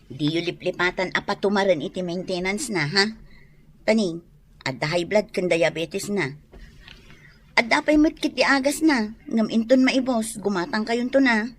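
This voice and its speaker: Actress as firm Ilocano elder daughter. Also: female voice, mid-range voice, normal speed, close to an everyday voice. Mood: firm, instructing. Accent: Ilocano Filipino. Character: brisk, dutiful grown daughter, bossy older sister. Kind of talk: Ilocano radio drama acting.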